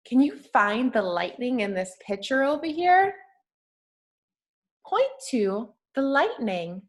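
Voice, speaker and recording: A woman with a fairly high voice reads a picture book aloud for small children. She takes it very slowly, like a teacher. Her talk is simple and encouraging, full of questions for the listener. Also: very animated.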